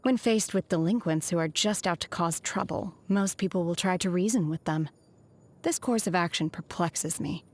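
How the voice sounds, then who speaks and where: A woman playing a reserved, solemn young exorcist disciple in a video game, speaking in a measured, formal way. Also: lowish voice, a little mellow, natural voice. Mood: composed, solemn. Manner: measured, formal, restrained delivery. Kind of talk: scripted video game character voice lines. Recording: music behind the voice. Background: music.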